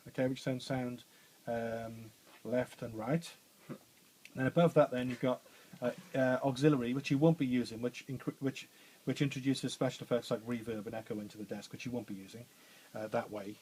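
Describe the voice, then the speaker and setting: Bassy studio trainer, chatty. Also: male voice, a little mellow, a little hiss or hum, low-quality recording. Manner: chatty, informal instructor with frequent fillers and restarts. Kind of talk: hands-on technical demonstration. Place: radio studio.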